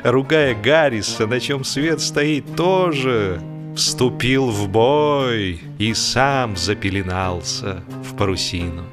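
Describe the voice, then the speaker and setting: A man narrating a humorous first-person story expressively, with dramatic pauses and a shifting tempo. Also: mid-range voice. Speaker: audiobook narrator. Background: music.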